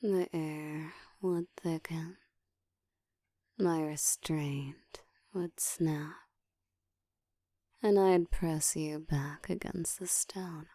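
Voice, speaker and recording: Woman as a slow, whispering seductive vampire. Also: low voice, steady tone, dark tone, dry recording, clean studio sound, heavily acted. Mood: restrained hunger. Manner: breathy whispering, slow deliberate teasing delivery. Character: dominant, seductive ancient female vampire, hungry yet controlled. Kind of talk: intimate whispered ASMR audio roleplay.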